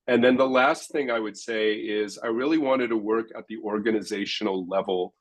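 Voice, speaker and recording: A man in his forties, a founder speaking on a conference panel in a measured, articulate way. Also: fairly low pitch. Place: conference stage.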